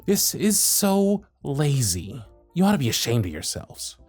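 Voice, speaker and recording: A harried male elf supervisor, voiced by a man, scolds his team in a sarcastic, scolding tone. His pitch rises and falls widely while the delivery stays slow and unhurried. Faint music plays underneath this roleplay audio. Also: big pitch swings, darkish tone, no echo. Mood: exasperated. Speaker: workshop supervisor.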